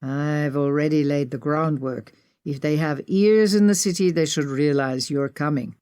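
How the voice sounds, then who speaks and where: A woman's voice: deep voice, moderate pace, dark tone, clean tone, dry recording, close to an everyday voice. A courteous, assured Egyptian man with an accent to match, played by a woman narrator, speaks as a friendly local contact in an audiobook. The sound is dry.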